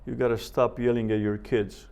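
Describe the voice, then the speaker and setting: A man teaching a Bible seminar as a professor, speaking in a measured way with deliberate pauses, scripture quotations and rhetorical questions. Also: mid-range voice, level tone, gravelly, a little mellow, clear, resonant tone.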